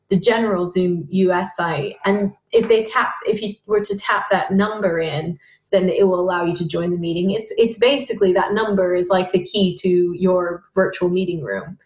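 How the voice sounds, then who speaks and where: A woman giving a casual screen-share software tutorial, talking in a halting way with many fillers and restarts. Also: medium pitch. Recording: roomy echo.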